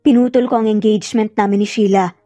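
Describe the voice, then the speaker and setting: A woman's voice: medium pitch, steady tone, fast, dark tone, no echo, faint music behind, close to an everyday voice. A woman with a Filipino accent voicing an earnest, insistent man pleading with his lost love in a narrated romance. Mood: earnest confession.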